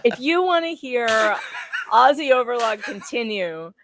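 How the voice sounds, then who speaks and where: A woman's voice: a little high, very animated, a little slow, noisy background. A woman reading transcript lines aloud casually, with playful, teasing conversational delivery. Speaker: guest co-reader. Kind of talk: casual dramatic reading of court transcript with banter.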